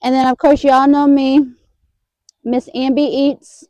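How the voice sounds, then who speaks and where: Mellow-voiced woman hosting a campfire vlog. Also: sits high, flat delivery, no echo, lo-fi sound. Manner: slow, drawn-out, chatty with fillers. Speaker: vlog host. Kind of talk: casual food vlog chat. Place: outdoors at a campground at night.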